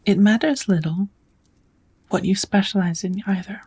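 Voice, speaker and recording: A woman voicing a kind, knowledgeable witch who gently teaches magic in a measured, whispered ASMR roleplay. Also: medium pitch. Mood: kind, patient. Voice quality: soft, breathy whispering.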